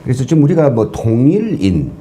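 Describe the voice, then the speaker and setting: A man's voice: mid-range voice, sing-song, a little fast, mellow, a little hiss or hum. A man from Korea, a former professor giving earnest, lecture-like explanations as a talk show guest. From North Korea.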